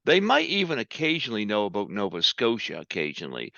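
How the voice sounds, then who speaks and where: A man's voice: fairly low pitch, wildly swooping pitch, fast talker, creaky, sharp tone, no room sound. A man from New Brunswick, a guest in a casual interview, telling jokey stories with fillers. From New Brunswick, Canada. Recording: dry, dull lo-fi line.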